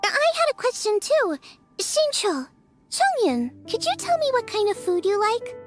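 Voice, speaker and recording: A woman's voice: extremely high pitch, a little slow, heavily acted. A woman voicing a cheerful, eager young chef in a game cutscene, over music. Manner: bubbly, energetic.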